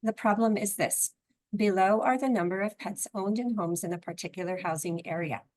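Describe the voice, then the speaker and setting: A woman instructor with a froggy, hoarse voice, calmly explaining a software tutorial step by step. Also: mid-range voice, moderate pace. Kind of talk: screen-recorded software tutorial.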